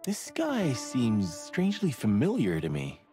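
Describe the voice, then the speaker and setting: An actor playing a teasing, wisecracking detective sidekick in a noir video game, playful and theatrical. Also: male voice, mid-range voice, wildly swooping pitch, a little slow. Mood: insistent. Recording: faint music behind the voice.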